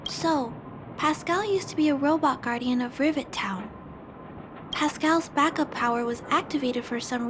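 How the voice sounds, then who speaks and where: A woman voicing a gentle, earnest young girl who speaks softly and politely, in a game cutscene with music. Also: high-pitched, close to an everyday voice.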